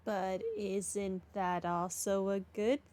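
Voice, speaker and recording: A woman's voice: mid-range voice, level tone, slow pace, a little mellow, slight background noise. A woman giving casual playthrough commentary as a video game streamer, with music behind her.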